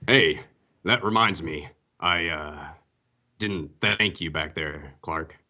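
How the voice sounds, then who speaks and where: A grave, earnest vigilante hero played by a man in an old-time radio drama. The voice sits very low and has a hard, bright edge. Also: slow pace, exaggerated voice. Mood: earnest, hesitant.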